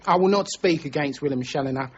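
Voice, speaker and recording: An actor playing a rough, blunt, defiant prisoner and petty crook in a radio drama. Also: male voice, a little high, quick pace, natural voice. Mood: defiant, alarmed. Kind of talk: BBC radio drama acting. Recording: muffled radio broadcast with clear noise.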